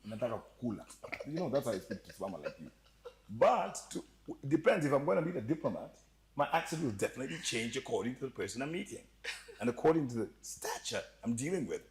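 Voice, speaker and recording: A man with a slight Ugandan accent telling stories as a podcast guest, animated and joking, often laughing. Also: mid-range voice, quick pace, gravelly, poor audio. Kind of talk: storytelling interview chat.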